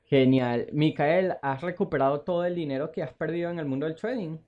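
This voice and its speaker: A man's voice: on the high side, a little fast, lo-fi sound. A man hosting a casual interview as a teacher, asking questions and repeating words for emphasis. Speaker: interview host, trading teacher.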